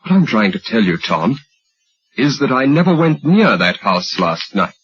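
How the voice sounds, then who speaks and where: Dull lo-fi radio play: male actor as earnest, husky-voiced man pleading his case, faint music behind. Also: medium pitch, very animated, normal speed, close to an everyday voice. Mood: urgent, defensive. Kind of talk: old radio drama acting. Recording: dull, lo-fi old radio recording.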